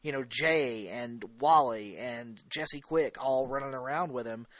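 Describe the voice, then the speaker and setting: A man's voice: mid-range voice, measured pace, a bit bright, dry recording. A man co-hosting a podcast, giving his opinions in casual fan discussion. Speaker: podcast co-host.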